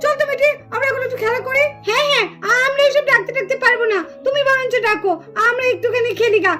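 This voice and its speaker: A woman voicing eager, playful small children in a puppet cartoon, pleading and repeating themselves excitedly. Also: very high voice, quick pace. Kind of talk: sing-song children's puppet cartoon acting.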